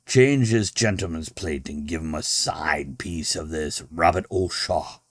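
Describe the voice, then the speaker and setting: A man's voice: a bass voice, measured pace, pitched below the performer's own voice. A man voicing a genial French host in a storybook, courteous and hospitable. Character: genial French asylum superintendent, attentive dinner host. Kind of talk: storybook character voice.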